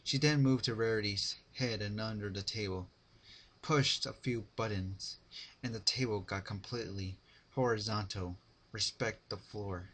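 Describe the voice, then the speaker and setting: A man narrating fan fiction as a dramatic reading, with deliberate phrasing. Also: lowish voice, a bit bright, breathy edge.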